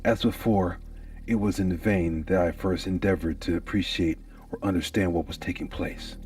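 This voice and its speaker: A man's voice: deep voice, darkish tone, far from the mic. A man giving a theatrical, anguished and intense first-person reading of a gothic horror story.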